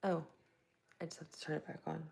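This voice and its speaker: Woman from Tampa hosting a live stream: soft-spoken, low and heavily gravelly, chatting easily with viewers. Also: level tone, a little fast. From Tampa, Florida. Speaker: livestream host. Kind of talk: casual live chat answering viewers. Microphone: plugged-in microphone.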